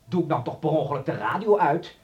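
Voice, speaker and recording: A man's voice: on the high side, animated, fast, lo-fi sound, slight background noise, lightly acted. An actor playing a brash, teasing young companion of a sick boy in a Dutch radio drama, blunt and mocking. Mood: gleefully mocking.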